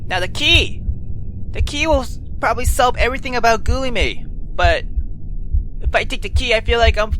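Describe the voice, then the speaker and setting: A woman game streamer who reads story text dramatically and thinks out loud in casual commentary, swearing freely. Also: a little high, expressive, normal speed, long pauses, slight background noise, poor audio. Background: game music.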